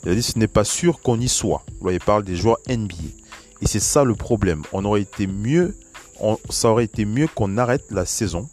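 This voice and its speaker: A man's voice: fairly low pitch, moderate pace, a little mellow. A man hosting a solo basketball news podcast, talking casually with many fillers and restarts. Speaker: podcast host. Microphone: voice message recording.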